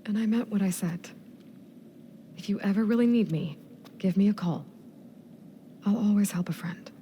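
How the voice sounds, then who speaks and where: An actress playing a frank, loyal young heroine in a video game, speaking earnestly and directly. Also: female voice, medium pitch, normal speed, mellow, slight background noise, natural voice. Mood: reassuring. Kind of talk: video game cutscene acting.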